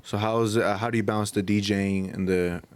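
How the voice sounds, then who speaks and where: Smooth-voiced American male podcast host. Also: fairly low pitch, fairly even intonation, fast talker. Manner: slangy, informal. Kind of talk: casual podcast chat. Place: small room with couches, indoors.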